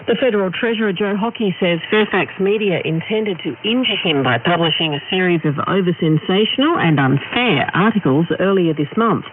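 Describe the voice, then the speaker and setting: A man's voice: sits high, animated, brisk, hardly any pauses. A man with an Australian accent reading a scripted radio news bulletin over noisy shortwave radio. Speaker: news reader. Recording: noisy narrow-band shortwave radio reception.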